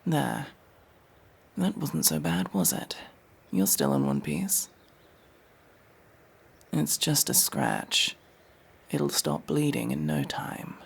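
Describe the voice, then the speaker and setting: Woman acting a gravelly, low-voiced masked elf. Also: exaggerated voice. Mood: guarded, softer. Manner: curt, bossy, hushed delivery with breathy whispers. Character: masked tsundere elf rescuer, gruff, world-weary, guarded. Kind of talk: ASMR fantasy roleplay acting. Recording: faint background noise with ambience.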